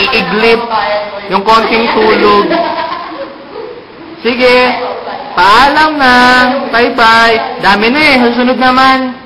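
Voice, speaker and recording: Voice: mid-range voice, close to an everyday voice. A performer with a Filipino accent voicing a jokey puppet in a playful, casual greeting, teasing and repeating punchlines to explain them. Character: jokey puppet sending mangled-word greetings to a friend. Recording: roomy echo, faint noise.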